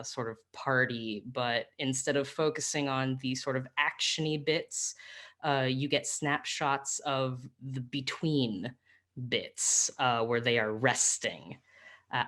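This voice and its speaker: An audio drama voice actor casually talking about projects in a halting, thoughtful way. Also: medium pitch, a bit bright. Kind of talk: casual chat plugging projects.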